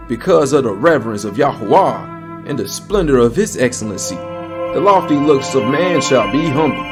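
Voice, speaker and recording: Solemn man reading scripture, lively pitch over music. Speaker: narrator. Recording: music bed behind the voice. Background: musical score.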